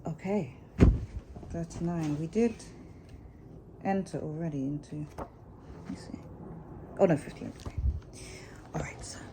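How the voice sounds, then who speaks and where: A woman's voice: deep voice, slow, long pauses, noisy background. A woman storyteller reading a children's storybook aloud. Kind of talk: storybook narration read aloud.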